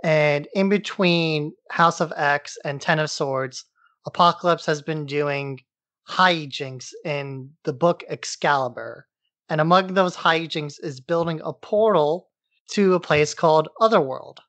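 A man's voice: on the high side, measured pace, a bit bright, no echo. A man co-hosting a podcast, giving a casual, informal and irreverent plot recap. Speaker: podcast co-host.

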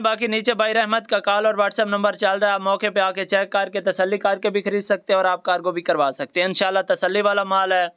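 A man's voice: high voice, pushed and bright, clean tone. A man with a Pakistani accent narrating a promotional livestock sales video in repetitive sales patter.

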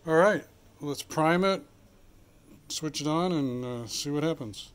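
A man's voice: on the high side, unhurried, clean tone. Plainspoken man demoing a chainsaw. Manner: rambling, plainspoken, thinks aloud with many fillers. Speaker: channel host. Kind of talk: casual how-to demonstration. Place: home garage workshop, then outdoors.

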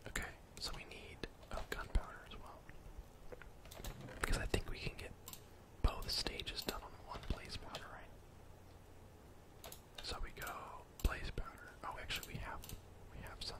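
A young man whispering soft, breathy ASMR gameplay commentary in a casual, rambling way, thinking aloud close to the microphone. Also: unhurried, long pauses. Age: young adult. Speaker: gaming ASMR streamer.

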